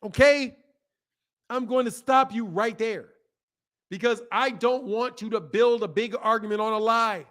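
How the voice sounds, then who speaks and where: A man in his fifties talks on a live boxing stream with a fairly high voice for a man. He answers viewers loosely and hammers his points home by saying them again and again, breaking into laughs along the way. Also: unhurried. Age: 50s. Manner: animated, talks back to chat, repeats himself for emphasis, laughs often. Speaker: boxing channel host. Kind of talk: casual live-stream chat reading comments.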